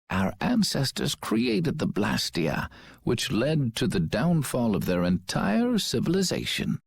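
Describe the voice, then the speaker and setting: Creaky, raspy village elder. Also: medium pitch, dry recording, exaggerated voice. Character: eccentric village elder keeping ancient lore. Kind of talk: video game dialogue, formal exposition.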